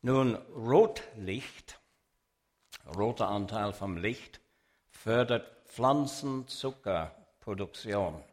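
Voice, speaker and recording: Slow-talking man. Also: lowish voice, darkish tone. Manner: deliberate, explanatory, addresses audience directly. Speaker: speaker giving an illustrated religious talk. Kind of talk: public lecture with sermon message.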